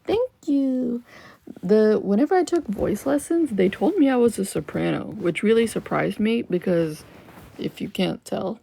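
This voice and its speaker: A young woman with a lower voice chatting casually with viewers on a livestream, loose and full of "like" fillers. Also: expressive, measured pace, gravelly, warm tone. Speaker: livestream host. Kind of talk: casual live-stream chat with viewers.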